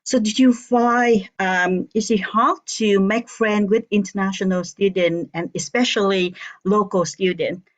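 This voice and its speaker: A woman hosting an interview, asking unhurried questions and murmuring agreement. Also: medium pitch, fairly even intonation. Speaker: interviewer host. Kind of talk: casual interview chat. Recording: dull lo-fi sound.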